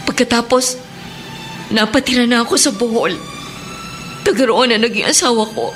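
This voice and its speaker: Actress as a high-voiced, wounded Filipino mother. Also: moderate pace, music in the background, natural voice. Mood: pained. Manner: emotional, reproachful. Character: wounded, bitter woman, abandoned mother seeking her child. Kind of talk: Filipino radio drama acting.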